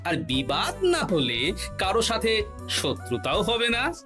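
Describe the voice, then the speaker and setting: A clever male court jester for a comedy cartoon. He explains with confidence and a very animated pitch, music running behind. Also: slow, full character voice. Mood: explaining slyly. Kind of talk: Bengali comedy cartoon dubbing.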